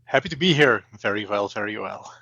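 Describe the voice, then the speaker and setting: Halting Belgian man with a dull, dry sound. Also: on the low side, expressive, vocal fry, sharp tone, dry recording. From eastern Belgium. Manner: hesitant, repeats words, many fillers. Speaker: guest, banking AI expert. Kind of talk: interview answers.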